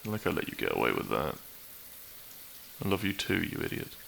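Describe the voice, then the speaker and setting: A man's voice: a bass voice, huge pitch swings, brisk, creaky, fairly bright tone, slight background noise, full character voice. A man playing a depressed, dry-witted young man in an intimate audio roleplay, speaking with hesitant, awkward teasing to his best friend. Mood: flustered, tender.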